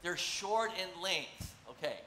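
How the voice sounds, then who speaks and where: A middle-aged man delivering a sermon with animated, conversational energy, his voice high and bright. He speaks on a church stage, and the sound carries a roomy echo with a faint hiss underneath. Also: takes it slowly. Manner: animated, conversational, gesturing while seated on a stool. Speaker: pastor. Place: church stage, large room. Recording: echoey church room, faint noise.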